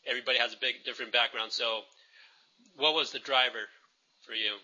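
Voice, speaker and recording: Bright-voiced man hosting an interview. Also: medium pitch, steady tone, a little fast, low-quality recording. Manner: easygoing, chatty questions. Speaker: interviewer, host. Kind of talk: friendly interview.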